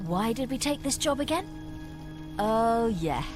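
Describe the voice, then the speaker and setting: A wry female adventurer in a fantasy video game. Her intonation is very lively and tinged with sarcasm. Music plays clearly behind her. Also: on the high side, unhurried. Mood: sarcastic.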